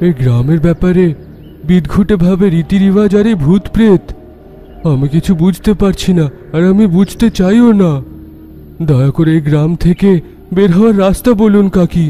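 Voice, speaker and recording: A man's voice: high-pitched, normal speed, dark tone, slight background noise, close to an everyday voice. A man playing a determined young hero searching for his lost beloved in a horror cartoon, earnest and troubled. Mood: troubled, pleading. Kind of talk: animated horror cartoon acting.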